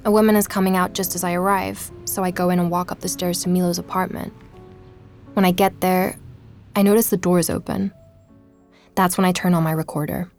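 A woman's voice: lowish voice, little pitch movement, fairly quick, darkish tone, lightly acted. Actress as inquisitive woman investigator, polite, natural audio drama delivery, faint music behind. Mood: reflective, suspenseful. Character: Emma, curious, polite woman investigator narrating her audio documentary. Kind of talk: audio drama, intimate narration and natural dialogue.

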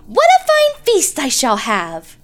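Shrill wicked old witch. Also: huge pitch swings, takes it slowly, exaggerated voice. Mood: gloating. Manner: shrill, cackling, gloating. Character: wicked old witch woman, spiteful and greedy. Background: soft music.

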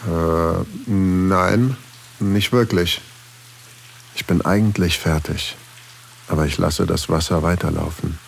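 A very low, creaky male voice playing a flirtatious single father in an erotic audio drama. He is intimate and teasing with a touch of hesitation, over a faint hiss. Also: fairly even intonation, slow pace, full character voice. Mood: casual, slightly awkward. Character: flirtatious single father narrating his inner thoughts.

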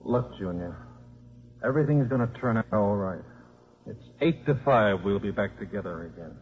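A man's voice: fairly low pitch, lively intonation, moderate pace, husky. Blunt, scrappy father, muffled radio. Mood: gentle, resigned. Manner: blunt, colloquial. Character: scrappy, plain-spoken, devoted father. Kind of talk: 1950s radio acting. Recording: muffled, hissy 1950s radio recording.

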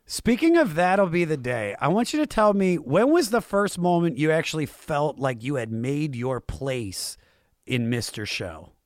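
A man's voice: a little high, very animated, unhurried, no echo, studio quality. A man hosting a casual interview, asking questions in a chatty, informal way. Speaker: interviewer, host.

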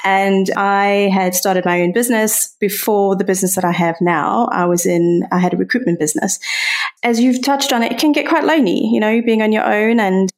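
A woman's voice: medium pitch, moderate pace. A woman with a South African accent speaking earnestly as a guest on a podcast interview, often murmuring agreement. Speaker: podcast guest, community founder. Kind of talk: casual interview conversation.

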